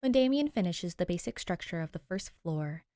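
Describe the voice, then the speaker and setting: A woman reading fiction aloud as an expressive podfic narrator. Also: on the low side, moderate pace, dry recording, studio quality. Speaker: podfic reader. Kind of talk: expressive scripted story narration.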